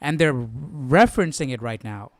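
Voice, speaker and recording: A man's voice: mid-range voice, animated, moderate pace, dry recording. A man hosting a live Bible study, thinking aloud in a conversational, questioning way. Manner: conversational, questioning, repeats himself for emphasis.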